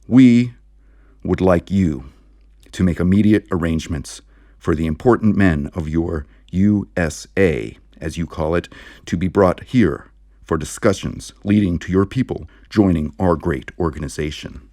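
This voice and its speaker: A man voicing a calm male alien visitor in a science fiction audiobook, with measured, stilted, formal speech. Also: sits low, no echo, studio quality. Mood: formal, insistent. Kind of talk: audiobook character voice.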